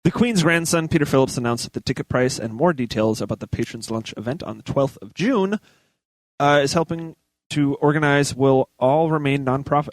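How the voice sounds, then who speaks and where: A man's voice: medium pitch, a little fast, no echo, low-quality recording. A man co-hosting a talk show, casually reading out news items with offhand asides.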